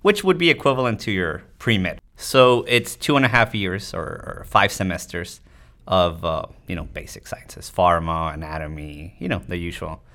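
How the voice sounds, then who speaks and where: Low-voiced man explaining in an interview. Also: normal speed, pushed and bright. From Tijuana, Mexico. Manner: explanatory, often tagging points with "you know". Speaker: interview guest, physician. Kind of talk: conversational interview answers.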